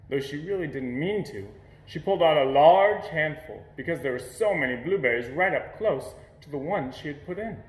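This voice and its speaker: A man reads a picture book aloud to children in a roomy, echoing space. His voice sits a little high and moves up and down in lively swings, with an expressive storyteller's delivery. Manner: expressive storytelling with playful sound words. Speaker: storybook reader.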